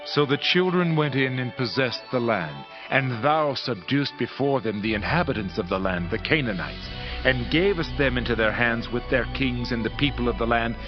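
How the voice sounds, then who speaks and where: A man's voice: medium pitch, expressive, normal speed, dull audio, natural voice. A man playing a solemn ancient Israelite recounting history in prayer, in a declamatory dramatized scripture reading. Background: background music.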